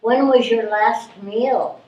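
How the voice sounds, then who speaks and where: A woman's voice: a little high, steady tone, darkish tone, lo-fi sound. A woman playing an obtuse, politely bureaucratic helpline clerk in a live readers theatre reading. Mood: officious. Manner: officious, measured. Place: live stage reading in a room. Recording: echoey room, distant microphone.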